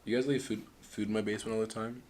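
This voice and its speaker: Young guy rattling off opinions fast, lowish voice, bit of hiss behind. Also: steady tone, darkish tone. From Canada. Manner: quick, emphatic, opinionated. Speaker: podcast co-host. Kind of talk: casual chat among friends.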